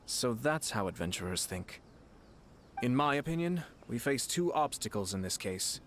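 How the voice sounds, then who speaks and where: An actor playing a courteous, shrewd noble lord with formal, eloquent speech in an anime-style video game. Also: male voice, mid-range voice, big pitch swings, moderate pace, fairly bright tone, a little hiss or hum. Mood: thoughtful. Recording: faint game music behind.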